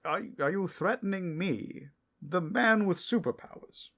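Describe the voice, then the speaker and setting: A man's voice: sits high, expressive, a little slow, gravelly, a bit bright, pitched above the performer's own voice, exaggerated voice. A man playing an earnest, well-meaning superhero in an audio roleplay, hesitant and stammering as he tries to sound reasonable. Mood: taken aback.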